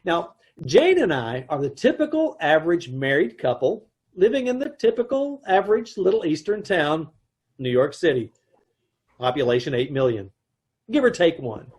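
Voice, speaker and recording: A man's voice: on the high side, very animated, moderate pace, a little mellow, slightly rough. A man narrating and playing a dry, joking husband in an old-time radio comedy recreation. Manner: dry wry delivery with chuckles. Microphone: home mic on video call.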